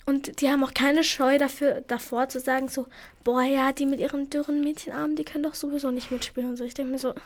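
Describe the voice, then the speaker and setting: A woman's voice: high voice, flat delivery, fast talker. A teenage girl from Afghanistan, co-hosting a casual chat and telling stories in an earnest, indignant way. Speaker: co-host.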